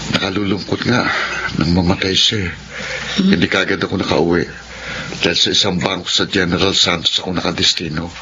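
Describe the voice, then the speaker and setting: Chill twin bro, real deep voice, noisy dull recording. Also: male voice, fairly even intonation, moderate pace, pauses often, bright, forceful tone. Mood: reflective. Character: young man, twin brother of the dead son. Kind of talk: radio drama narration. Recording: noisy lo-fi radio drama.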